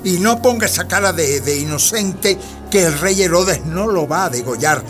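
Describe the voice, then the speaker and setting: A man's voice: sits high, expressive, normal speed, over music. An actor playing an indignant, flustered parish priest in a radio drama, moralizing, stammering and repeating his words. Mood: indignant, accusing.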